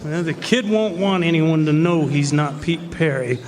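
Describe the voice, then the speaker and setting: Sly western outlaw male actor, highish voice, scheming and folksy, radio play staged in an echoey hall. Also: normal speed, darkish tone, a little hiss or hum, close to an everyday voice. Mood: scheming, threatening. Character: Bogus, scheming blackmailing male cowboy outlaw and killer. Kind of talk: old-time western radio drama acting.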